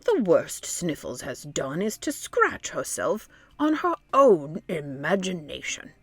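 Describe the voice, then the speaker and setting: A woman's voice: sits low, wildly swooping pitch, takes it slowly, husky, no room sound, exaggerated voice. A woman voicing a skeptical, nagging camel with a blunt, doubting manner in a children's storybook. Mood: exasperated.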